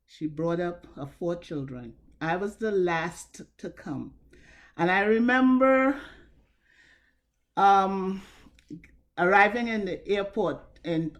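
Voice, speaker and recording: A woman in her seventies with a Trinidadian accent, telling a personal story in a measured, reflective way with wry asides over a video call. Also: lowish voice.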